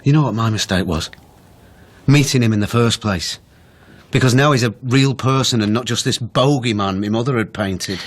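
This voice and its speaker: A man's voice: medium pitch, normal speed, lightly acted. An actor playing an anxious, reluctant grown son in a naturalistic radio drama, hesitant and defensive in his speech. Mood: reluctant, doubtful. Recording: background noise behind radio drama dialogue.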